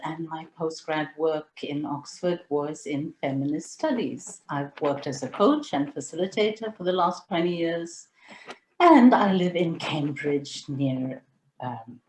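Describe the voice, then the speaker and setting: A middle-aged woman who grew up in India, presenting an online webinar over a video call in a measured, step-by-step way. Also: deep voice, expressive, darkish tone, a little hiss or hum, poor audio.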